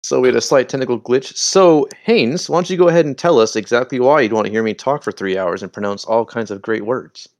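A man's voice: medium pitch, a little fast, few pauses, no room sound. A man co-hosting a casual podcast, playful and teasing, laughing often and using slang. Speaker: podcast co-host and editor. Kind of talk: casual chat.

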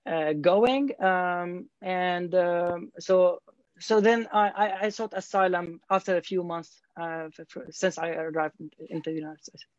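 A man's voice: high voice, fairly even intonation, a little slow, dry recording. A man, a guest answering interview questions hesitantly, with many fillers and restarts. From Syria. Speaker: guest, scholar. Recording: dull, dry lo-fi audio.

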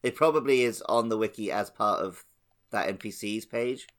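A man's voice: lowish voice, normal speed, fairly bright tone. A man talking casually as a panelist on a gaming podcast.

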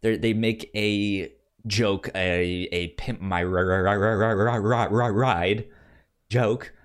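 A man with a fairly low voice talks casually about films as a podcast co-host. His delivery is very slow and hesitant, and he stutters, repeating sounds and words as he goes. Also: steady tone, smooth. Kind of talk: casual film review chat.

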